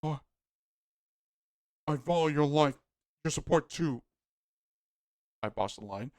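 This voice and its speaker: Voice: mid-range voice, expressive, slow, darkish tone, no room sound, studio quality. A streamer voicing a timid, fretful male president in a fan dub of a story game, hesitant and pleading. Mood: anxious. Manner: hesitant, pleading, slightly distant.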